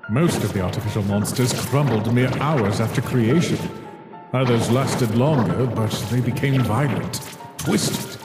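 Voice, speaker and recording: A man playing a cold, weary, guilt-ridden scientist monster in a dramatic comic dub, speaking in a deliberate, theatrical way. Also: mid-range voice, lightly acted. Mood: brooding, bitter. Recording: echoey reverberant voice with background music.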